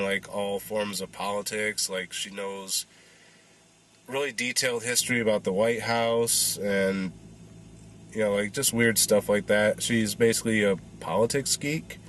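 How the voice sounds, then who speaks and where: Casual male reviewer in his thirties, stuffy and congested, low voice, loose and rambling. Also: fairly bright tone. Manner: rambling, filler-heavy. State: congested, recovering voice. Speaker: movie reviewer.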